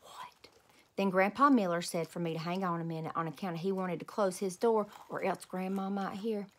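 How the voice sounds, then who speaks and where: A woman reads a children's story aloud like a teacher at storytime. Her delivery is expressive, narrating for young listeners. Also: fairly low pitch, brisk.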